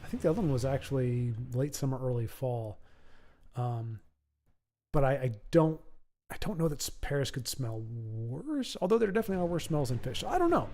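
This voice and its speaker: A man's voice: mid-range voice, lively intonation, fairly quick, dark tone. A man hosting a card game livestream, chatting casually and rambling, often stammering and repeating words. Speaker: stream host and commentator.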